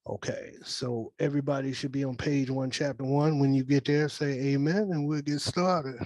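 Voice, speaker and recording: Man, even intonation, informal reassuring manner, dry close sound, casual director reading script action. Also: medium pitch, moderate pace, no echo. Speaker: table read organizer and writer, narrating action. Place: dry close room, virtual call. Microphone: video call.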